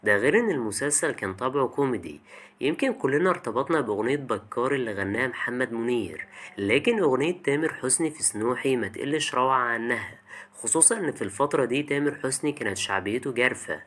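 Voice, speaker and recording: Fast-talking Egyptian man narrating. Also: mid-range voice, lively intonation, fairly bright tone. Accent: Egyptian Arabic. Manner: colloquial, chatty, addresses the listener directly. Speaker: video essay host. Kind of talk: scripted narration, conversational video essay.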